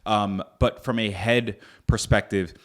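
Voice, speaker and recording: A man's voice: lowish voice, fairly even intonation, measured pace. A young man from Northern Virginia hosting a solo podcast, earnest and reflective, with frequent fillers and restarts. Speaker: podcast host. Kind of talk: casual solo podcast talk.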